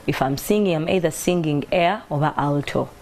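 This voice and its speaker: A woman singer with a deep voice and a Ugandan accent, telling stories in a relaxed interview and mixing Luganda with English. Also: fairly quick, slight background noise. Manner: mixes Luganda and English, reflective storytelling. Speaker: singer and guest. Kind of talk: casual interview talk.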